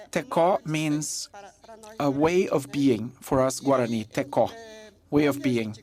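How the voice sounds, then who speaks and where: Slow, measured conference interpreter. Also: medium pitch, a little mellow. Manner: measured, with pauses and fillers. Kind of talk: live interpretation of a talk.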